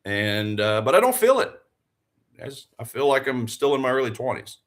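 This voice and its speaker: A man's voice: medium pitch, very animated, normal speed. A man in his forties hosting a live trading stream. He talks in an earnest, fired-up way, repeats phrases for emphasis and asks the viewers rhetorical questions. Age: 40s. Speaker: livestream trading host. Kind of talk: live-stream motivational chat and trading commentary.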